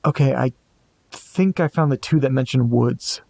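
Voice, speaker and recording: Guy acting as anxious amateur sleuth in a horror audio drama, creaky low-key voice, super dry close sound, mutters to himself. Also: normal speed, a little mellow, no room sound. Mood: puzzled, musing. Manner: hesitant, muttering, talking to himself. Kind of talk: audio drama monologue, reading and musing aloud.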